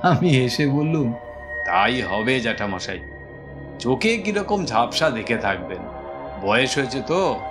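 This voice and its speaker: A man's voice: medium pitch, sing-song, measured pace, pauses often. Male suspense storyteller over music. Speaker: narrator of a suspense audio story. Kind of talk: dramatic scripted first-person narration. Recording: music behind the voice. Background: clear background music.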